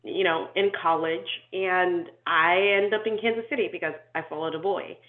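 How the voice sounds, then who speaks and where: A woman's voice: lowish voice, fairly even intonation. Woman on a tinny, echoey call. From Nigeria. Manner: thoughtful, measured, with frequent ums and restarts. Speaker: inclusion and diversity consultant, guest. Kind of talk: reflective interview storytelling.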